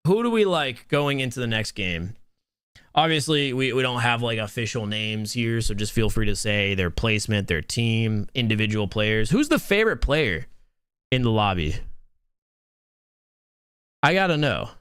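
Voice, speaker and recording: A young man with a smooth, soothing voice casts a mobile game tournament live and talks straight to his chat. He chats loosely and restarts his words as he goes. The sound is close and dry, with no room around it. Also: medium pitch, moderate pace, no echo. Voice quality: soothing, relaxed voice. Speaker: tournament host and caster. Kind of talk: live stream esports commentary.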